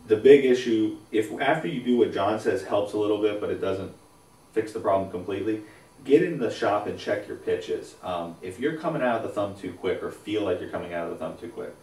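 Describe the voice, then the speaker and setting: A man in his thirties co-hosting a bowling advice show, explaining in a relaxed way with fillers in casual chat. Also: fairly low pitch, a little fast. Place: indoors, small studio against a plain backdrop. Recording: roomy echo, voice a bit far from mic.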